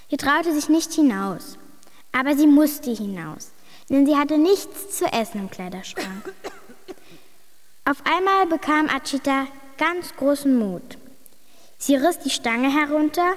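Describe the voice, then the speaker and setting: A woman's voice: sits high, big-room sound. A woman playing a plucky, imaginative young girl who reads her adventure story earnestly and emphatically in a German radio drama.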